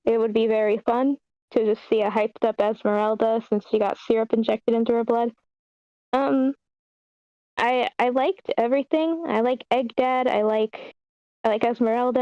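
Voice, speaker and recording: Woman, fairly high voice, close and dry sound, informal and animated feedback-giver in an online meeting. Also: normal speed, no echo, highs cut off. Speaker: script feedback participant at an online table read. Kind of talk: casual feedback chat.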